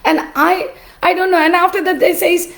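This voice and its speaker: A middle-aged woman, an artist and teacher telling her story in a cracked, rough voice, pausing often with "uh". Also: very high-pitched, fairly even intonation, fairly bright tone. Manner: halting, with many "uh" pauses. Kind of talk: personal storytelling.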